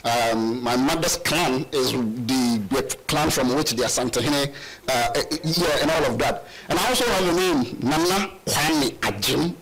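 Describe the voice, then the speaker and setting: A man's voice: mid-range voice, unhurried, few pauses, crowd noise, rough recording. A young Ghanaian man speaking on a panel, often repeating words as he restarts a thought. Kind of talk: panel discussion.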